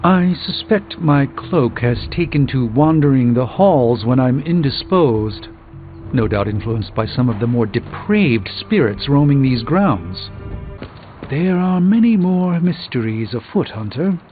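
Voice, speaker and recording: A man's voice: mid-range voice, lively intonation, unhurried, close to an everyday voice. A man playing a haughty, erudite middle-aged sorcerer in a video game, speaking formally with precise, pompous diction. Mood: musing. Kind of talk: scripted video game acting. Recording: thin phone-like band, music behind.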